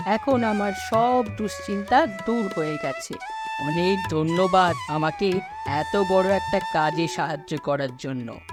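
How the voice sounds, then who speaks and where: A woman's voice: on the low side, unhurried, music in the background, natural voice. A woman voicing an earnest, worrying husband and father in a cartoon story, with exclamatory delivery. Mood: relieved.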